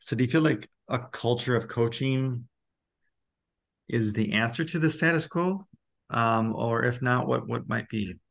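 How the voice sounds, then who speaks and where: A man's voice: mid-range voice, unhurried, gravelly, fairly bright tone. A middle-aged man interviewing in a conversational way, reflective and tentative as he thinks aloud. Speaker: interviewer and school principal.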